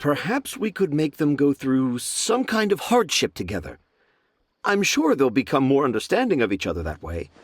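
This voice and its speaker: A man voicing a gentle, thoughtful father in a video game, encouraging and measured. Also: mid-range voice, wildly swooping pitch, slightly rough, no room sound, clean studio sound.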